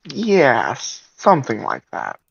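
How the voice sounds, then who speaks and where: A man's voice: medium pitch, huge pitch swings, takes it slowly, gravelly, a bit bright, breathy edge, exaggerated voice. A man voicing a guarded, patient adult man in a tabletop game, on a muffled mic. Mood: guarded, dry.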